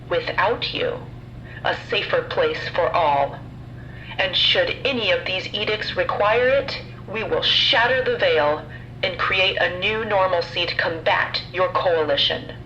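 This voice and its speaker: A woman voicing a cold female council leader who delivers a measured, menacing, formal ultimatum. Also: on the low side, bright, forceful tone, highs cut off.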